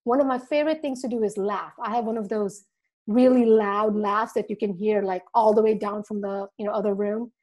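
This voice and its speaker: A woman's voice: mid-range voice, steady tone, brisk, a little mellow. A middle-aged woman from India raised in the Virgin Islands, a dental marketing coach speaking earnestly on a podcast. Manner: earnest, speaks from the heart, acts out quoted dialogue. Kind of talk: casual podcast conversation.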